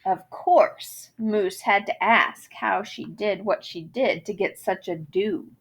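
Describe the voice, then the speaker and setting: A woman's voice: medium pitch, slow, husky. A woman reading a children's picture book aloud in a theatrical manner, savoring the rhymes and wordplay with dramatic emphasis. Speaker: storybook reader.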